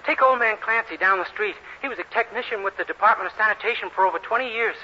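A man's voice: sits high, fast talker, bright, forceful tone. An actor playing an eager, naive young man in a 1940s radio comedy, earnest and chatty. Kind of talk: 1940s radio sitcom acting. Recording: noisy muffled 1940s radio recording.

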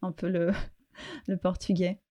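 A woman's voice: on the low side, little pitch movement, measured pace, dark tone, highs cut off. A middle-aged woman from France, a guest in a personal interview, speaking reflectively with frequent fillers and restarts.

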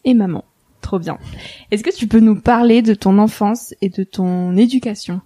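Female podcast interviewer, soft-edged mellow tone, medium pitch, scripted intros and informal questions. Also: normal speed.

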